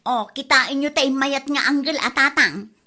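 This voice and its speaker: A woman acting a high, bright, whiny Ilocano daughter. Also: unhurried. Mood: bossy, eager. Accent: Filipino, Ilocano. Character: lazy, whining grown daughter and scheming mother. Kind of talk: Ilocano comedy drama acting.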